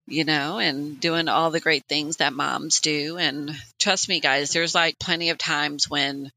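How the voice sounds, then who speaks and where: A woman in her late forties co-hosting a podcast, telling personal stories in an animated, conversational way. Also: low voice, measured pace, no echo, poor audio. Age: late 40s. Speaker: podcast co-host. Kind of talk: casual personal podcast chat.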